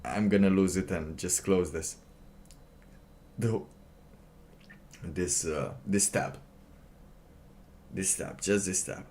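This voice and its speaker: A man doing casual livestream commentary, reading aloud and reacting in a halting, sardonic way, often repeating his phrases. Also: low voice, darkish tone, a little hiss or hum. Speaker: livestream host.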